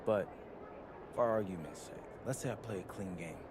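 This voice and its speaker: A man's voice: sits low, normal speed, a crowd in the background, background noise, close to an everyday voice. An actor playing an eager, confident young rookie basketball player in a video game, casual and respectful in his talk. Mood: confident, persuasive. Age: young adult. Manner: casual, self-assured, respectful. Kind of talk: naturalistic video game cutscene dialogue.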